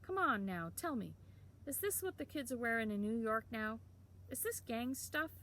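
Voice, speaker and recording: Woman reader doing a brash teenage townie, higher than her narration, teasing and streetwise, with a little gravel. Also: expressive, moderate pace, a bit bright, a little hiss or hum. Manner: brash, slangy, drawling. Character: cocky teenage town boy, teasing and streetwise.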